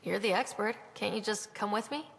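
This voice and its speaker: A woman's voice: medium pitch, a little fast, gravelly, a bit bright, slight background noise. A woman playing a frank, eager young space explorer in a video game, with a casual, direct delivery. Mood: casual, willing.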